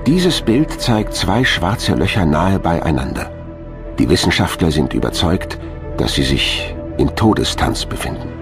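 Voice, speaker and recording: A man's voice: sits low, expressive, measured pace, over music. A man reading scripted narration as the narrator of a documentary.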